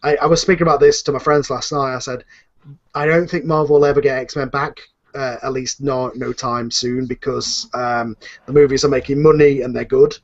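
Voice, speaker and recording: A man's voice: medium pitch, steady tone, moderate pace. Rambling man hosting, muffled lo-fi sound. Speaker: host and audio drama series creator. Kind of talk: casual unscripted panel discussion.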